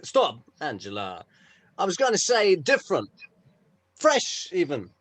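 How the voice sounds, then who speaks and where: Man as diplomatic male musician, high lively pitch, polite, measured, dry close recording. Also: dry recording, exaggerated voice. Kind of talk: informal script table read.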